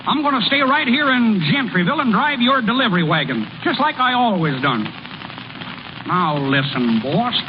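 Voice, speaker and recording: Lazy, cocky small-town ballplayer, high-pitched. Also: male voice, sing-song. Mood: mildly insistent. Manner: folksy, unhurried, boastful, ungrammatical. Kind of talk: 1930s-40s radio comedy acting. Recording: muffled, noisy old radio broadcast.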